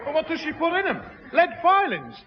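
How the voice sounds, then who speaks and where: A man's voice: very high-pitched, wildly swooping pitch, fairly quick, a loud crowd, exaggerated voice. A man playing a jovial, pompous working-class fellow with a Northern English accent, blustering and mangling big words in a 1960s radio comedy. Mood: amused. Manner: blustering, malapropism-prone. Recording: muffled, echoing, noisy old radio broadcast.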